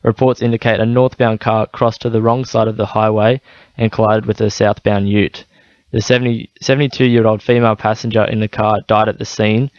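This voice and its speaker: A man's voice: fairly low pitch, flat delivery, fairly quick, darkish tone, dry recording, muffled recording. A man with an Australian accent reading scripted radio news bulletins. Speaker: radio newsreader.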